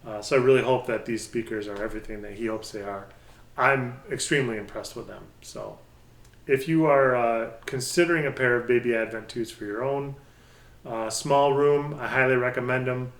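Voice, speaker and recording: A man with a mid-range voice speaks casually, like a hobbyist hosting his own review channel. He talks without a script, halting and working out his thoughts as he goes. The recording is noticeably echoey, with the room clearly audible around his voice. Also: medium pitch. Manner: halting, thinking aloud. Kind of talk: casual unscripted product talk. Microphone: pair of budget stereo microphones. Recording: echoey, roomy sound.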